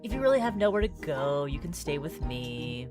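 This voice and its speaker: A woman's voice: low-pitched, very animated, normal speed, music in the background. A woman voicing a brash, teasing but kindly visual novel character who talks to a stray cat. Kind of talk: animated reading of visual novel dialogue.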